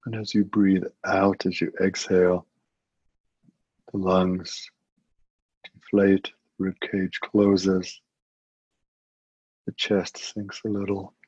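A man leading a guided meditation as a teacher, giving gentle, unhurried instructions with long pauses. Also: low-pitched, level tone, slightly rough, dry recording. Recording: muffled, dull lo-fi audio.

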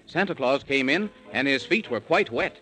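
Old timey radio narrator guy, bright voice, very animated, reciting a kids story in sing-song rhyme. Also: medium pitch, moderate pace, faint music behind. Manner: theatrical, sing-song verse delivery.

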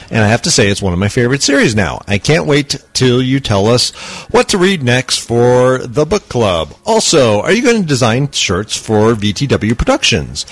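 Super animated guy podcasting, pitch all over the place, jokey, dry close sound. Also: dry recording. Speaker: podcast co-host. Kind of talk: casual joking chat, reading listener email.

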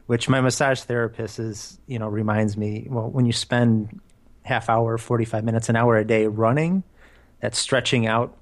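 A man's voice: mid-range voice, steady tone, normal speed. A man co-hosting a running podcast, chatting casually and joking about himself. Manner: joking, self-deprecating. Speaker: podcast co-host. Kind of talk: casual chat.

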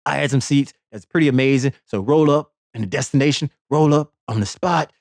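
A man's voice: mid-range voice, fairly quick, vocal fry, a little mellow, no room sound. Playful rambling man, dry sound. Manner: playful, repetitive, boastful riffing. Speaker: sports podcast host. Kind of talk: rambling comedic monologue.

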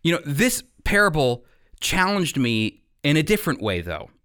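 Man teaching scripture with higher-pitched emphasis. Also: very animated, a bit bright, smooth. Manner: emphatic, addresses listeners directly, pauses for emphasis. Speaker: Bible teacher on a radio program. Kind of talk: expository sermon teaching.

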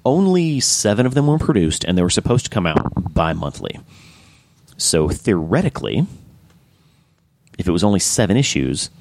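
A man hosting a casual podcast chat, enthusiastic and rambling, with frequent restarts and a slightly hoarse voice. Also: low voice, very animated, normal speed, background noise. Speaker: podcast host.

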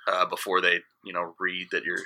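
Halting thirty-something male guest. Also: low-pitched, monotone, bright, forceful tone. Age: 30s. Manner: halting, many restarts and fillers. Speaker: podcast guest, DJ. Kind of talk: casual chat.

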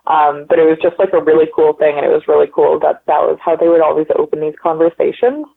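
A woman's voice: deep voice, fast talker, creaky. Guest: woman, chatty and rambling, phone-line audio. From Canada. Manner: rambling, many likes and ums, upbeat. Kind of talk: casual conversational interview.

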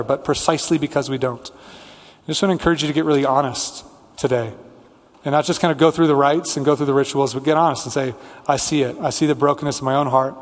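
A man preaching a sermon as a pastor, speaking earnestly with deliberate repetition and lists. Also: a little high. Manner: earnest, rhetorical repetition and listing. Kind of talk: sermon with prayer and scripture reading. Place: large reverberant church room. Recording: hall reverb, amplified church preaching.

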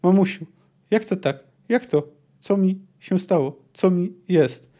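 A dazed young man calls for his mother as he dies in this audiobook reading, read by a man. The voice sits a bit high, with a mellow, soft timbre. His questions come out bewildered and pleading. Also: unhurried.

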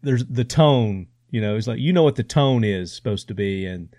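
A man's voice: fairly low pitch, animated, moderate pace, warm tone, dry recording, studio quality. Affable male podcast host. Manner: agreeable, affirming with short interjections. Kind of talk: podcast conversation.

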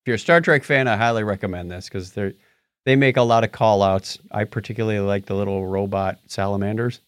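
A man's voice: fairly low pitch, a little fast, clear, resonant tone, no echo, clean studio sound. A middle-aged man co-hosting a podcast, chatting casually and wryly about films and TV shows, often poking fun at himself. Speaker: podcast co-host.

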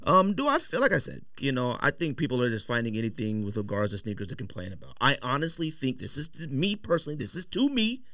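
A man's voice: mid-range voice, wildly swooping pitch, fast, hardly any pauses, bright, forceful tone, no room sound. A middle-aged American man in slangy, casual conversation, opinionated and emphatic, as a podcast host in a studio. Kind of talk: casual chat about sneakers, slangy.

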